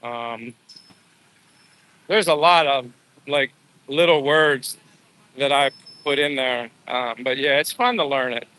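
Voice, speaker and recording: A man's voice: mid-range voice, slow, pauses often, pushed and bright, poor audio. A man hosting a livestream, chatting casually and ramblingly with his viewers in an informal, buddy-like way. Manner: informal, rambling, addresses viewers as bro and dudes. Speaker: livestream host. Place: outdoors, walking.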